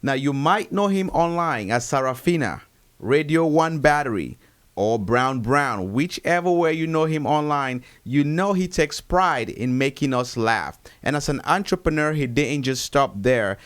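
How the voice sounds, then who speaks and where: A man's voice: a little high, lively intonation, measured pace. A man hosting a podcast, introducing and interviewing his guest in a casual way. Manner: warm introductions, frequent laughs and agreement. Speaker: podcast host.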